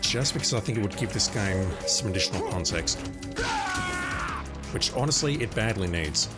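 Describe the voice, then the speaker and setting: A deep-voiced man whose first language is Russian talks through a game in a relaxed, musing way. Game music plays clearly behind him while he chats and comments on the action. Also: normal speed. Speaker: streamer. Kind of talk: casual gameplay commentary.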